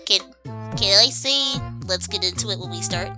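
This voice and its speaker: A woman's voice: medium pitch, moderate pace, over music. A woman chatting casually as a guest on a group video. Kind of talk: casual chat.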